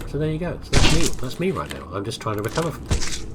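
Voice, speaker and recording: Middle-aged man, stuffy nose, lively rambling let's-play chatter, noisy game audio underneath. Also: medium pitch, fast talker. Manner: chatty, meandering, self-deprecating. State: congested, bunged-up nose. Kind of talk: rambling casual gameplay commentary. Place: home office.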